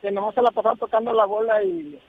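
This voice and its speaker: A man's voice: very high voice, very animated, quick pace, creaky. A man calling in to a radio show, asking rambling, hesitant questions. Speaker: caller. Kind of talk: casual radio call-in chat. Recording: tinny phone line.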